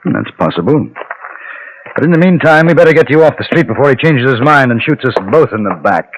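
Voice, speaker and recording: An actor playing a composed, steely gentleman gunfighter with polite, formal diction in an old-time radio Western. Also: mid-range voice, animated, fairly quick, lightly acted. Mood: calm concern. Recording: noisy vintage radio recording.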